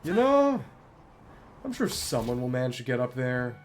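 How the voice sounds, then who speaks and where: A man giving casual solo commentary as a game streamer, musing aloud in short, halting remarks. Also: mid-range voice, big pitch swings, slight background noise.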